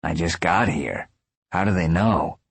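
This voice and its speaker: A man's voice: sits low, measured pace, gravelly, slightly rough, no room sound, exaggerated voice. A man playing a wry, blunt male antihero with a casual way of talking in an audiobook.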